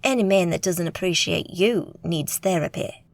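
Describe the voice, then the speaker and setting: A woman's voice: fairly low pitch, normal speed, gravelly. A woman doing a cocky swaggering magus.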